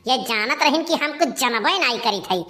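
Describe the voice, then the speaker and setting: A woman with a high, bright voice and a rustic lilt, scolding and lamenting in loud, dramatic bursts. She voices village folk in a comic cartoon, with a close, dry voice-over sound. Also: lively intonation, moderate pace. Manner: scolding, exclaiming, dramatic complaints. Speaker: cartoon voice actor doing several village characters. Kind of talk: rustic village comedy dialogue.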